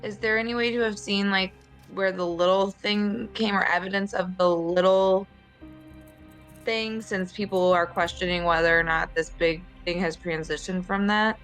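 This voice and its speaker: A woman speaking in a measured, casual way as a player in a tabletop game. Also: medium pitch, fairly even intonation, fairly bright tone, soft background music, rough recording.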